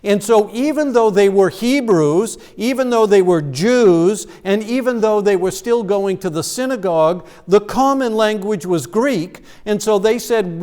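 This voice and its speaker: A man's voice: high voice, darkish tone. A man preaching as pastor, explaining scripture in a conversational, teaching way with fillers and restarts. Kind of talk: sermon. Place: church sanctuary. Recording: long church reverb.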